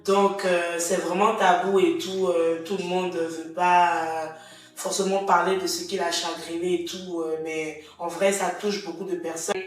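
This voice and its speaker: A woman's voice: lowish voice, flat delivery, a little slow. A woman co-hosting a casual chat podcast, telling stories animatedly with laughter. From Ivory Coast heritage. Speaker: podcast co-host. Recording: echoey room from far off, soft music.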